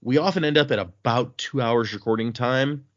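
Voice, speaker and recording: A man's voice: medium pitch, normal speed, lo-fi sound. A man hosting a podcast, chatting casually and reading listener emails with loose fillers and restarts. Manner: relaxed, rambling with fillers and restarts.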